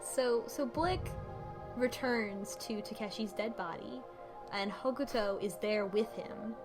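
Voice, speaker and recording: Higher-pitched woman chatting over music. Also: poor audio. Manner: informal, halting retelling with quoted dialogue in her own words. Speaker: podcast co-host. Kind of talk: casual chat, recapping a story. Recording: music playing behind the voice.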